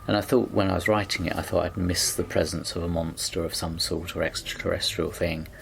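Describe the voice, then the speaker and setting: A man's voice: sits low, level tone, a little fast, vocal fry, a bit bright, a little hiss or hum. A man who writes scripts, talking in a reflective, slightly hesitant way in an interview. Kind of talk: behind-the-scenes interview.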